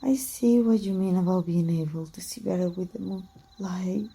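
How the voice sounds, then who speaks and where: A woman voicing a proud, teasing, wounded immortal warrior in an ASMR roleplay, with soft, breathy, archaic formal speech. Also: lowish voice, normal speed. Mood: resigned, calm.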